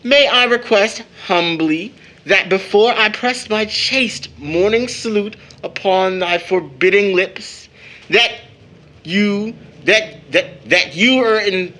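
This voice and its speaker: A man's voice: high voice, sharp tone, heavily acted. A man playing a cheerful, bantering older brother, a young man given to playful mock-grand speech, in an audio drama. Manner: playful, florid mock formality.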